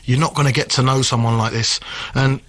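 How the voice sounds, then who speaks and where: A man's voice: medium pitch, steady tone, fairly quick, a bit bright. A man giving blunt immigration advice on a radio phone-in, telling stories with animation. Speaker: immigration adviser guest.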